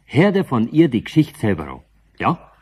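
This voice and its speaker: A man's voice: mid-range voice, wildly swooping pitch, moderate pace, slightly rough. An actor playing an earnest middle-aged Bavarian tradesman in a radio play, hesitant yet insistent as he coaxes a friend. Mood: pleading. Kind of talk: 1970s radio play dialogue.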